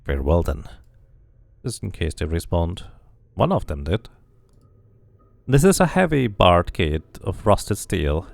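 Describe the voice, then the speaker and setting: A man's voice: deep voice, sing-song, measured pace, gravelly, pauses often, dry recording. A man streaming a video game, reading its text aloud like a narrator and muttering casual asides to himself.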